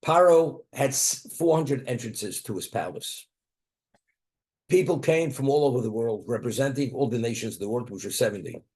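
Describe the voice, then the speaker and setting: A man's voice: medium pitch, expressive, normal speed, darkish tone. Man teaching a Torah class with rhetorical questions. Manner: poses rhetorical questions and answers them; mixes Hebrew and Aramaic terms into English explanation.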